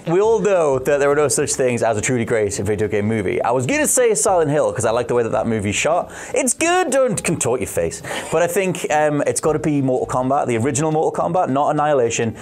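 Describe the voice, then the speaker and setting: A man's voice: mid-range voice, sing-song, quick pace, hardly any pauses, darkish tone. A man presenting casual opinions in a rambling, enthusiastic, slangy way. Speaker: video channel presenter. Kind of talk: casual chat, film opinion.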